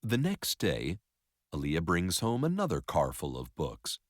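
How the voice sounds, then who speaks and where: A man narrating a story in an expressive educational read-aloud style. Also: sits low, measured pace, no room sound, studio quality.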